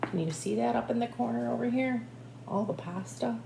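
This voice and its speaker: A woman teacher reading a picture book aloud in an animated way, with asides to young listeners. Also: on the high side, moderate pace, creaky. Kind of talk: expressive children's read-aloud with asides to listeners. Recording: echoey room with faint background noise.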